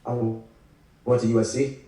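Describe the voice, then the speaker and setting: An actor playing a guarded, hopeful ex-con in a naturalistic table read. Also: male voice, medium pitch, level tone, slow pace, warm tone, faint music behind, lightly acted. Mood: guarded, earnest. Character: despondent but hopeful male ex-con, aspiring architect. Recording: echoey room, distant video call mic.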